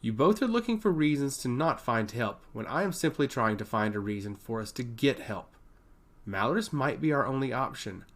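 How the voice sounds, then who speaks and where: Storybook princess, read by a man: earnest, argumentative, pleading, with animated intonation. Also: mid-range voice, normal speed, lightly acted. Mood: insistent pleading. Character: adult princess, earnest, insistent, hopeful.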